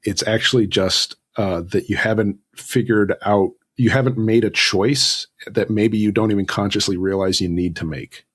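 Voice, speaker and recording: Casting: male podcast guest, low husky voice, thoughtful conversational delivery with stop-start phrasing. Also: fairly even intonation, moderate pace, hardly any pauses. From Southern California, United States. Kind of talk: conversational interview advice.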